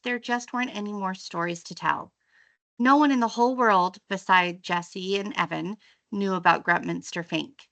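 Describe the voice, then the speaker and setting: Female storyteller for children's fiction, expressive read-aloud, bright and crisp-edged tone, mid-range pitch. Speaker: teacher reading a book aloud. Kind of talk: expressive children's audiobook-style story reading.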